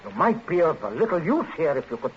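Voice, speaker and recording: A man's voice: on the high side, big pitch swings, fast talker, a little hiss or hum. An actor playing a domineering middle-class father in a 1940s radio drama, with an imperious, clipped, exasperated manner. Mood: sternly scolding. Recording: muffled vintage 1940s radio recording.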